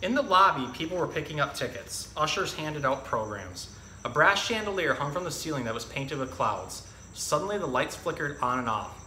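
A man's voice: on the high side, very animated, normal speed, sharp tone. A man in his thirties talking and reading to children in a casual, explanatory, educational way as a zookeeper presenter. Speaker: zookeeper presenting an animal storytime. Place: indoor room with hard walls and tiled floor. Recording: echoey room, faint background noise.

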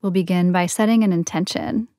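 A woman leading a guided meditation in a soothing, gentle, unhurried manner with long pauses between phrases. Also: lowish voice, fairly even intonation, no echo, studio quality. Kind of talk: guided yoga nidra meditation.

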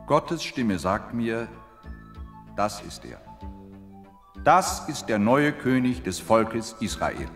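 An actor playing a solemn, declarative prophet in a Bible audio drama. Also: male voice, fairly low pitch, very animated, slow pace, long pauses, in a large hall, soft background music, full character voice. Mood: solemn, proclaiming.

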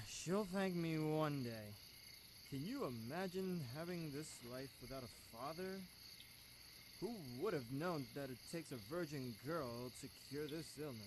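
High-voiced man acting a proud, troubled father. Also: unhurried, noisy background, lightly acted. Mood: ashamed, brooding. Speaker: student actor. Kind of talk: live student audio theatre acting. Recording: music behind, live radio studio.

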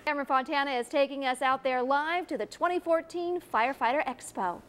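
A woman's voice: high voice, fairly quick, a bit bright, a little hiss or hum. A woman anchoring a morning news show, light in tone. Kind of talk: news read.

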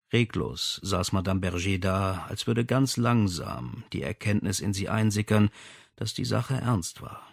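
A man's voice: lowish voice, vocal fry, dry recording, clean studio sound. A man reading a crime novel aloud as scripted audiobook narration.

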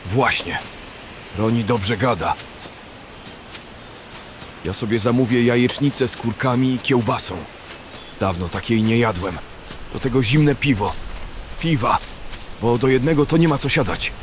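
A man's voice: medium pitch, a little slow, pauses often, natural voice. A man playing a brash, callous and coarse bandit in a fantasy audio drama. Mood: hungry. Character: brash, callous, coarse male bandit who loves food. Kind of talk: fantasy radio drama acting. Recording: clearly noisy background ambience.

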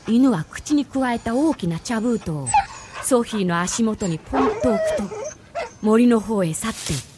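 A woman's voice: on the high side, wildly swooping pitch, normal speed, heavy background noise. A woman narrating a scripted radio drama as its storyteller.

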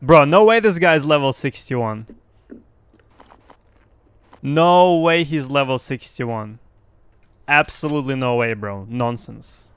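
A young man whose first language is Russian, giving excited, boastful live commentary on his game to his chat through a headset. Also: medium pitch, takes it slowly, pauses often, clean tone, no echo. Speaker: streamer.

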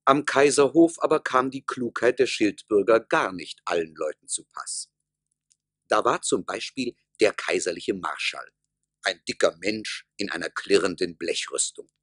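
A man narrating a fairy-tale radio play as a measured, wry, expressive storyteller. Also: fairly low pitch, slightly rough, no room sound, studio quality.

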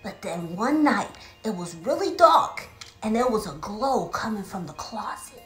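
A woman playing a mischievous little girl in a comedy skit, telling tall tales in a theatrical, drawn-out way. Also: mid-range voice, big pitch swings. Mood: spooky storytelling. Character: mischievous little girl Coco, cookie-loving tall-tale teller. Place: small bedroom, indoors. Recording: roomy echo, faint music behind.

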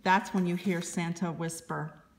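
A woman reading a children's picture book aloud as a librarian, in expressive, deliberate narration. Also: lowish voice, a little hiss or hum.

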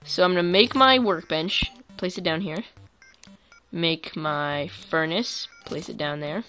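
A woman casually commentating her own gameplay for viewers, chatty and quick to get excited. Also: on the low side, slight background noise. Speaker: gaming YouTuber. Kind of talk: let's play commentary.

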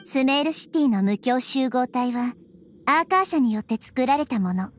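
A woman playing a wise, gentle childlike girl deity in an anime game, explaining things in a measured, thoughtful way. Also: high-pitched, expressive, a little hiss or hum. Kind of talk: Japanese anime game voice acting. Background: background music.

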